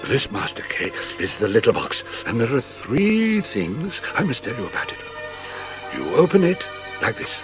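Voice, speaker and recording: A man's voice: mid-range voice, huge pitch swings, normal speed. A man playing a mysterious, urgent old Punch and Judy showman and magician in a dramatised audiobook, talking in an old-fashioned, rambling way. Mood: grave, urgent. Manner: old-fashioned, rambling storytelling with chuckles and repetitions. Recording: music behind the voice.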